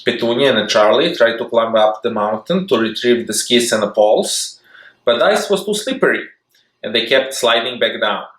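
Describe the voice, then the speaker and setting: A man reading a children's storybook aloud in a lively, expressive, sing-song way. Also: medium pitch. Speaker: storybook reader. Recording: echoey room.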